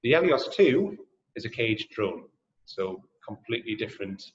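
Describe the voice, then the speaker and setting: A man's voice: sing-song, normal speed. Geordie man hosting a webinar. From Newcastle, England. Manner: friendly sales pitch with frequent ums and uhs. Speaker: webinar host and drone sales trainer. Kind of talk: presenting a webinar and answering questions. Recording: echoey, dull webinar audio.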